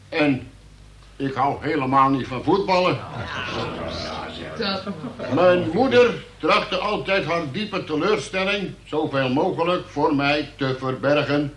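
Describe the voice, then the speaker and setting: An actor playing a dutiful police sergeant who formally and haltingly reads out a report in a radio play. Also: male voice, fairly high pitch, fairly bright tone. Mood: officious. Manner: formal, officious, halting delivery of official report. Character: dutiful police sergeant reading out a suspect's confession. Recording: roomy, echoing radio play recording.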